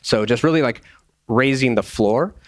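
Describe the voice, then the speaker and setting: A man's voice: medium pitch, moderate pace, no echo, studio quality. A man speaking as a game developer in a casual panel discussion, with informal phrasing full of "like". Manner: informal, says "like" often.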